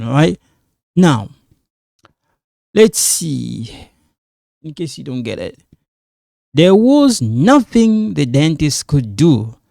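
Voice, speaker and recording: A man's voice: a little high, gravelly, darkish tone, dry recording, clean studio sound. A man who is not a native English speaker teaching an English grammar lesson in a casual, repetitive way, often checking in with "all right?". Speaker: ESL teacher. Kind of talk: casual classroom explanation.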